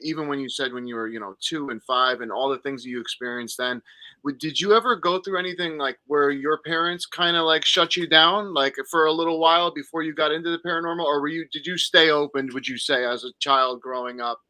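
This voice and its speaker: A man's voice: on the high side, steady tone, brisk, sharp tone, no room sound, low-quality recording. A man co-hosting an online talk show, asking eager, rambling questions full of fillers. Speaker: co-host. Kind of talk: casual interview talk.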